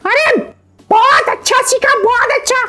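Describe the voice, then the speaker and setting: Squeaky, cheeky little boy puppet. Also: a little slow, bright, forceful tone, soft background music, heavily acted. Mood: cocky. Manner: argumentative, cocky, defends silly ideas. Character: cheeky mischievous young boy puppet character. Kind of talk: children's TV puppet banter.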